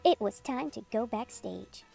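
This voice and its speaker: A woman reading a children's picture book aloud as a storytime host, in an expressive, unhurried storytelling manner. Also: fairly high pitch, vocal fry, slightly rough. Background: music playing under the voice.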